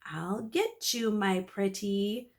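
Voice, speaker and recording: A woman playing a mummy villain, drawled slow evil laugh, gloating, greedy, gravelly edge. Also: medium pitch. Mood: villainous.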